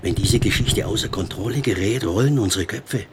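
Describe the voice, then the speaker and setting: An actor playing a worried, cautious interior minister in a radio drama. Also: male voice, on the low side, fairly quick, very noisy, natural voice. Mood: grave, insistent.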